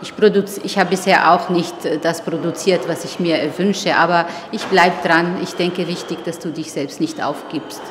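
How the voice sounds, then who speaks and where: Woman, middle-aged, kinda low voice, hesitant thoughtful interview, big echoey hall, lots of background noise. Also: steady tone. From Tunisia. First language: Arabic. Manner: thoughtful, halting with frequent hesitations. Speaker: writer, interviewee. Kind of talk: reflective interview talk. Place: exhibition opening venue.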